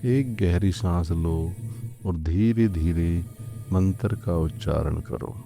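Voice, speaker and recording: A man leading a scripted guided meditation in a calm, soothing, gently instructing way, leaving long pauses. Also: low-pitched, creaky. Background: background music.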